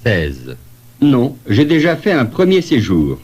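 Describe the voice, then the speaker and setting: A man playing a polite traveller in a scripted language-lesson dialogue, giving deliberate answers. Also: medium pitch, wildly swooping pitch, darkish tone, breathy edge, highs cut off, full character voice. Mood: polite, matter-of-fact.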